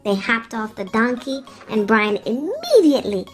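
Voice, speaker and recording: A woman narrating a children's story in an expressive, deliberate, instructive way. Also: fairly high pitch. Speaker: storyteller and reading teacher for children. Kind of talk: animated children's read-aloud narration. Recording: music playing behind. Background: music.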